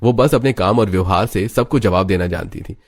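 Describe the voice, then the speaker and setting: Storyteller: man with a lowish voice, narrating a scripted cartoon family drama. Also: brisk, slightly rough.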